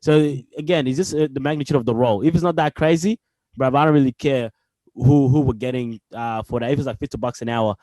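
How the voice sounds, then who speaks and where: A man's voice: mid-range voice, fast, mellow, no room sound, rough recording. A young man with an Australian accent in casual podcast chat, slangy and loose. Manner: slangy, says "bruv" and "you know what I mean". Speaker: podcast co-host.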